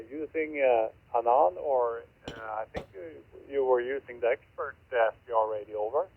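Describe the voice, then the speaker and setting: Through a thin, narrow-band radio link with fading volume comes a man with a mid-pitched voice. He is an amateur radio operator chatting in a hesitant, stop-and-start way, with a dry sound free of echo. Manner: halting, hesitant delivery with many pauses. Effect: heard through a radio receiver. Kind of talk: ham radio contact chat.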